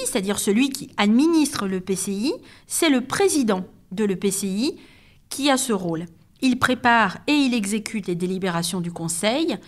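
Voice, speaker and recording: A woman giving a structured educational podcast explanation in a didactic, methodical manner, signposting each point. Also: fairly high pitch.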